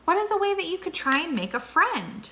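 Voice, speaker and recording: A woman teacher reading a picture book aloud to children, slow and expressive, then posing questions to them. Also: very high-pitched, a little hiss or hum. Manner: slow, expressive and warmly animated.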